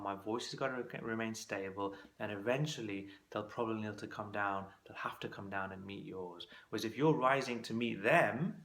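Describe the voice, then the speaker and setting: A man's voice: lowish voice, creaky, a bit bright. A man teaching as a clinical exam course tutor, giving practical coaching tips in a conversational instructional talk to camera.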